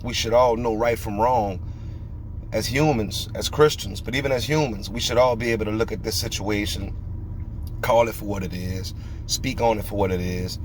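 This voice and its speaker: A man's voice: on the low side, a little hiss or hum, rough recording. Preachy, emphatic male podcast host. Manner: emphatic, preachy, fires rhetorical questions.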